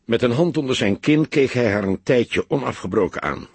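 Een man met een Nederlands en een hese, rasperige stem leest als verteller een detectiveverhaal voor, met dialogen. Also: medium pitch, expressive, moderate pace, no echo. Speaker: audiobook narrator. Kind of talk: scripted literary narration of a detective novel, with character dialogue.

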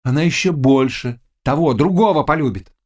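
A man voicing a mocking, scheming Cossack witch woman in an audiobook, with loud, taunting exclamations. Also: fairly high pitch, normal speed, voice pushed up, lightly acted. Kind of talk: audiobook character dialogue.

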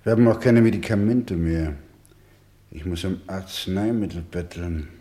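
An actor playing a weary, tender orphanage doctor in a German radio play, speaking gravely and gently. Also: male voice, deep voice, moderate pace, natural voice. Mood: sorrowful.

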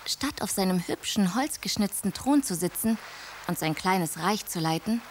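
An actress playing a bossy, dreamy young princess who narrates a radio drama with lively storytelling and scolding. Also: female voice, mid-range voice, normal speed, slight background noise, close to an everyday voice.

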